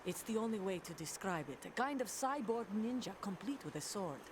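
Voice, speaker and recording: An actress with a Russian accent playing a tough female mercenary commander, sharp and blunt. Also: mid-range voice, expressive, a little fast, background noise, lightly acted. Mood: terse.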